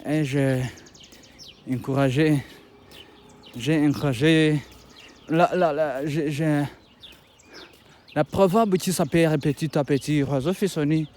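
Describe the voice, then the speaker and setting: Halting Rwandan-accented man, raised pitch. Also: darkish tone, a little hiss or hum. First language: Kinyarwanda. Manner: halting, with many restarts and hesitations, pleading. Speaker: YouTube content creator. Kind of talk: casual vlog talk to viewers. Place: outdoors by a road with passing buses.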